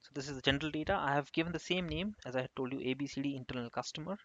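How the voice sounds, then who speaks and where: A man giving an unscripted software tutorial, explaining step by step in halting phrases as an instructor. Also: mid-range voice. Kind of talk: screen-share tutorial narration, unscripted. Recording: dull, lo-fi close microphone.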